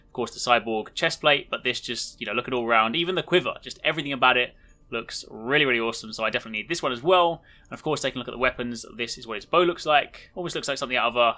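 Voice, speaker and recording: A man hosts a gaming video in a casual, enthusiastic way. His voice is bright and forceful. He talks rapidly as he walks viewers through what is on show. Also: medium pitch. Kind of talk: upbeat video walkthrough commentary.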